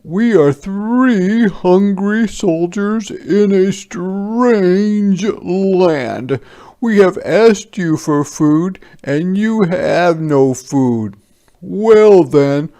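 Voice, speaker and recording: A man voicing hungry, weary but hopeful soldiers in a storybook folktale, speaking slowly and emphatically. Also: high-pitched, animated, mellow, pitched above the performer's own voice, heavily acted. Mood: bold, announcing.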